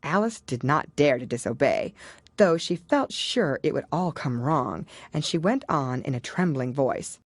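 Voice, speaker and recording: A woman's voice: deep voice, lively intonation, unhurried, no room sound. A woman reading scripted story narration as an audiobook narrator.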